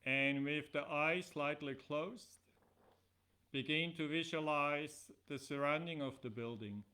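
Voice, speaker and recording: A middle-aged man teaching yoga nidra, giving guided relaxation instructions in measured, deliberate phrases with pauses. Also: a little high, bright, forceful tone.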